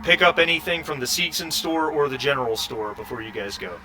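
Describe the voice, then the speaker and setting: A man narrating a tabletop sci-fi game as game master, with halting, improvised phrasing. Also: on the high side, lively intonation, crowd noise.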